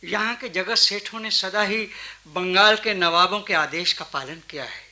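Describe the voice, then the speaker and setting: An actor playing a falsely humble, calculating rich banker courtier in a historical radio drama, speaking formally and insinuatingly. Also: male voice, high voice, a little slow, sharp tone, heavily acted. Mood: obsequious. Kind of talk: theatrical Hindi radio historical drama acting.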